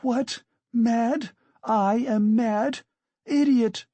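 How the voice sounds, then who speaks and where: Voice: mid-range voice, big pitch swings, slow pace, a little mellow, no room sound, pitched above the performer's own voice. A narrator voicing a hysterical, fiercely proud and indignant poor woman in a dramatic audiobook reading. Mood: shrieking fury. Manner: shrieking, frantic, exclamatory.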